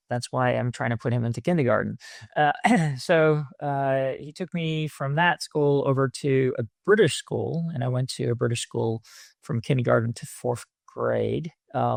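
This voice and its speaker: A man in his fifties talks through his memories as a podcast guest. His voice has a gravelly, fried texture and a mid pitch, and he pauses on fillers as he thinks. The sound is dry and close. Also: no room sound. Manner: reflective storytelling with many fillers. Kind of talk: conversational interview answers.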